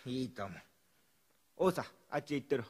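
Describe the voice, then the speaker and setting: An actor playing a smug grown gangster in a Japanese radio drama, condescending and threatening. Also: male voice, medium pitch, expressive, fairly quick, breathy edge, no room sound. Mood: smug, menacing. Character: menacing grown male gangster, smug and sneering.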